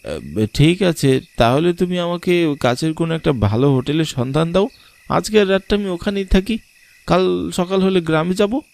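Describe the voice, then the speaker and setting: A man voicing an uneasy city gentleman back in his village, polite and questioning, in a cartoon. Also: sits high, lively intonation, moderate pace, creaky, pitched above the performer's own voice. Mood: resolute. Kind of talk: cartoon dialogue. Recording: background music, night insects.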